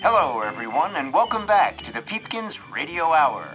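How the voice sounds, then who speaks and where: A man's voice: a little high, sing-song, normal speed, bright, forceful tone. A man playing a slick, peppy old-time radio show announcer, heard through an old radio filter. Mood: slick, upbeat. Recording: dull old radio sound over music.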